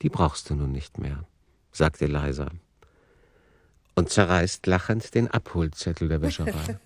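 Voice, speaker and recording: A man narrating a literary radio play in a measured, matter-of-fact, sober way. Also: a bass voice, no room sound, heavily acted.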